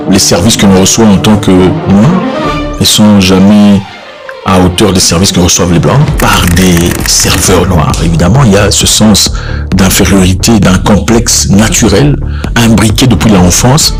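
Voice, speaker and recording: A man giving spoken commentary on news clips, hesitant and repeating words. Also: lowish voice. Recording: music bed behind voice.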